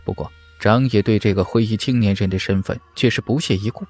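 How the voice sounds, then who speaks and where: A man's voice: on the low side, a little fast, a little mellow, faint music behind. A man narrating a fantasy novel in a dramatic, scripted audiobook style.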